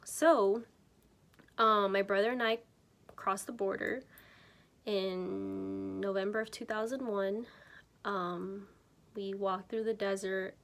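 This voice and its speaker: A woman's voice: mid-range voice, steady tone, slow pace, gravelly, pauses often, fairly bright tone. A woman in her twenties, from Mexico, telling her personal faith testimony to camera in a casual, unpolished way with many ums and self-corrections. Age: 20s. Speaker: church member sharing her testimony.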